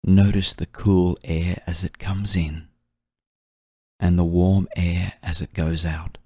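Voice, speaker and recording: A man guiding a meditation in a soft, breathy, soothing voice, gently and unhurriedly, with long pauses. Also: sits low, level tone, no echo, clean studio sound. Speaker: meditation guide. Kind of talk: guided meditation narration.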